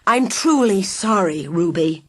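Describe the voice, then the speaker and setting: A woman's voice: medium pitch, expressive, unhurried, dry recording. A woman voicing a reluctant, remorseful male henchman who speaks apologetically and hesitantly. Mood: apologetic.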